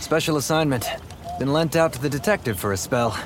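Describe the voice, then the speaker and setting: A man's voice: mid-range voice, a little fast, natural voice. Easygoing 1930s policeman, played by a man: casual, bantering, middle register, outdoor city noise behind. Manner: casual, good-natured banter. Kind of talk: period noir audio drama acting. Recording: noisy city street ambience.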